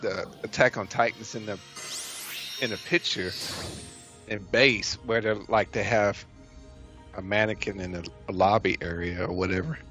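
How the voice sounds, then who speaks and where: A young man doing casual gameplay commentary on a stream, excitable and quick to doubt what he hears. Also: medium pitch, dull audio. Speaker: game streamer.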